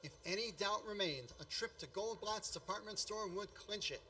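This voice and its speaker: A man as a high, grandiose nostalgic narrator. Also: moderate pace, pushed and bright, slight background noise. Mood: grand, wry. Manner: grandiose, mock-epic. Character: grown man wryly, grandly recalling his boyhood. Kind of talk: dramatic nostalgic radio play narration.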